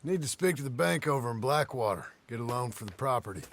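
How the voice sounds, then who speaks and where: A man playing a gruff, laconic reformed outlaw in a Western video game, gravelly, terse and dry. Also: medium pitch, animated, fast, a bit bright, a little hiss or hum. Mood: wary, dry. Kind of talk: video game cutscene acting.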